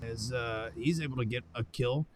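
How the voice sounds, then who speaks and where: A man's voice: mid-range voice, normal speed, a little mellow. A man calling the play-by-play of a match live, with joking, playful banter. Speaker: esports play-by-play caster. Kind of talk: live game commentary. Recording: game gunfire and engine noise under voice.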